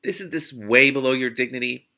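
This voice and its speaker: Wry fantasy heroine, read by a man, resigned and sarcastic, bright edge with vocal fry, storybook reading. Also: mid-range voice, brisk. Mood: wry, exasperated. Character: wry, sardonic young woman heroine of vampire fantasy.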